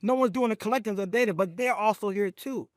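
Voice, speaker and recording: A man who is a veteran advocate, talking emphatically in a podcast conversation and telling stories with lively, faith-filled conviction. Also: fast talker, dry recording, clean studio sound. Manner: emphatic, animated storytelling, speaks of faith; rising pitch when excited.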